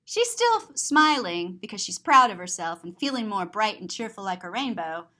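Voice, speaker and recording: A woman's voice: mid-range voice, very animated, moderate pace, bright, forceful tone. A woman reading a children's picture book aloud as a story-time host, expressively and with simple explanations for young listeners.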